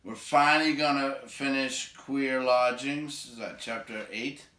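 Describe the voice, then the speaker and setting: A man's voice: mid-range voice, steady tone, a little slow, sharp tone, slight background noise. A man reading a storybook aloud as host, with casual commentary along the way. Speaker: read-aloud host and reader. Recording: roomy, echoing home recording.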